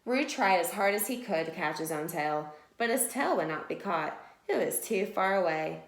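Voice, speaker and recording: Expressive woman teacher reading in an echoey room. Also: on the low side, moderate pace. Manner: expressive, addressing young pupils simply. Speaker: teacher reading a picture book aloud. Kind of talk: children's read-aloud and mini lesson.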